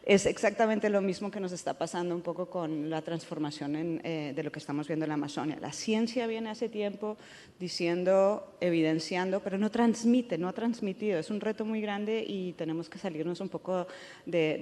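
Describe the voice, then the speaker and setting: A woman's voice: fairly low pitch, darkish tone. A woman with a Spanish accent speaking on a conference panel, hesitant and full of fillers. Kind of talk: panel discussion. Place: conference hall. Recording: long hall reverb.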